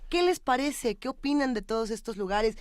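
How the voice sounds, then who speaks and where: A woman's voice: a little high, expressive, moderate pace, no room sound. Mexican woman hosting, dry studio sound. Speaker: magazine show host. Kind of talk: conversational broadcast hosting.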